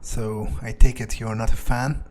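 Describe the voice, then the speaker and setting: A man roleplaying a self-assured, inquisitive fledgling vampire in a game playthrough, speaking in a halting, musing way. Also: lowish voice, little pitch movement, a little mellow, slight background noise, lightly acted. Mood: curious. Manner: halting, musing, hesitant pauses. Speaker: gameplay video player. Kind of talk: in-character roleplay commentary.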